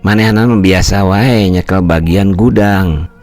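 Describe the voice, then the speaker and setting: A man's voice: deep voice, unhurried, darkish tone, faint music behind. An older man storyteller voicing an elderly village mother who speaks in a plain, practical, matter-of-fact way.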